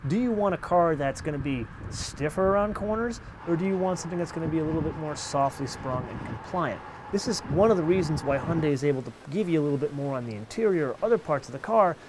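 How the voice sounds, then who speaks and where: A middle-aged man hosting a car show, explaining features in a lively, animated way outdoors and behind the wheel. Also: a little high, quick pace, few pauses, slight background noise. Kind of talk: car review presentation.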